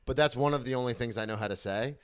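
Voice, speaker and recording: A man from Florida hosting a podcast, chatty in a casual conversation and quick to bring in his own stories. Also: medium pitch, a bit bright, clean tone. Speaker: podcast host.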